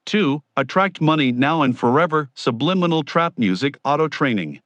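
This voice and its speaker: A man's voice: a little high, expressive, normal speed, fairly bright tone, dry recording, lo-fi sound. A man delivering a scripted promotional narration in a grandiose, formal salesman's manner. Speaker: promoter of his own audio programs.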